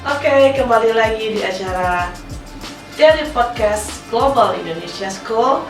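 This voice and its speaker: A woman's voice: on the high side, expressive, a little slow. A young woman hosting a casual podcast interview, chatty, slipping English phrases into her talk. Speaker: podcast host. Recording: echoey room, mic at a distance, music behind.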